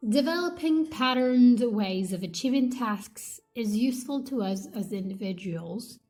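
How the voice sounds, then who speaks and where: A woman reading an academic textbook aloud as scripted narration, with measured, deliberate phrasing in short segments. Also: fairly high pitch, animated, darkish tone, faint music behind, poor audio.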